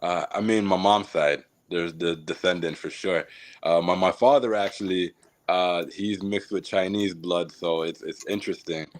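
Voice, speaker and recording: Deep-voiced man telling his life story. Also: normal speed. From Jamaica. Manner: relaxed, reflective, many fillers and laughs. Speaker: podcast guest. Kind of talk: casual storytelling interview.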